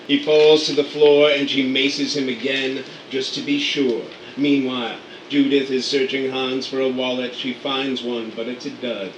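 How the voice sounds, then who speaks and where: A man reading stage directions for an amateur radio play, brisk and matter-of-fact. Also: medium pitch, a bit bright, far from the mic. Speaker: narrator. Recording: echoey room, off-mic, faint noise.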